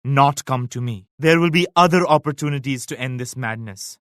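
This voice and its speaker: An actor playing a devout, solemn emperor and military leader in a strategy game, with measured, grave, pious declamation. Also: male voice, medium pitch, smooth, dry recording, studio quality, close to an everyday voice. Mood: grave, regretful. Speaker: video game character voice actor. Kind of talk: scripted strategy game voice lines.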